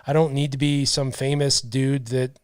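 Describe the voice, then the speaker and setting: A man's voice: medium pitch, normal speed, smooth, no room sound. A man in his thirties hosting a podcast, chatting casually and reading viewer comments from a small studio mic. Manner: relaxed, reads out viewer comments. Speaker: podcast host. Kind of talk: casual chat. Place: small brick-walled studio. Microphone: headset and studio mic.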